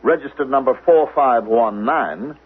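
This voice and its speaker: An actor playing a controlled, officious investigator of a future state in a 1970s radio drama, speaking formally and precisely with a commanding manner. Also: male voice, medium pitch, a little slow, breathy edge. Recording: narrow, lo-fi old radio recording, faint noise.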